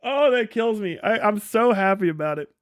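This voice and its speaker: A man's voice: high-pitched, lively intonation, normal speed, clear, resonant tone, no room sound, clean studio sound. A man talking casually as a livestream host, blunt and sarcastic, with frequent swearing.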